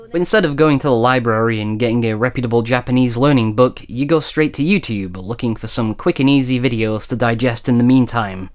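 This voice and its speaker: Wry, conversational male narrator. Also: mid-range voice. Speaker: documentary narrator. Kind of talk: scripted video-essay narration.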